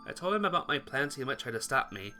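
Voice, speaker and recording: A man's voice: medium pitch, big pitch swings, fast, bright, forceful tone, faint music behind. A man reading first-person visual novel narration aloud for a playthrough, stumbling over words and rereading them.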